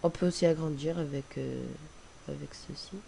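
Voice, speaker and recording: Young guy with a cold, slightly high and mellow, rambling tutorial voice-over, faint hiss. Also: steady tone, normal speed, vocal fry. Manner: rambling, hesitant, many fillers and restarts. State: has a cold. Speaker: tutorial presenter. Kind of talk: casual screen-recording tutorial. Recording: faint hiss on home recording.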